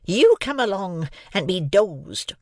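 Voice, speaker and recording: A man reading aloud and voicing a sharp-tongued, bad-tempered grown sister with a shrill, scolding voice. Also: big pitch swings, slow, no room sound, clean studio sound, full character voice.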